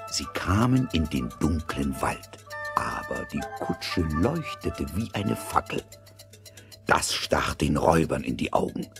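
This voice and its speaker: A man narrating a fairy tale from a script as a storyteller, with a gravelly, husky voice. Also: very deep voice, wildly swooping pitch, takes it slowly, music in the background.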